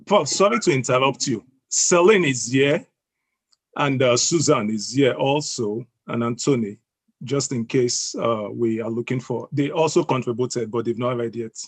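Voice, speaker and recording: A man with a croaky voice from the cold, reading his own poem slowly and deliberately on an online call. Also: mid-range voice, dry recording. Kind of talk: poetry reading. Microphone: online video call audio.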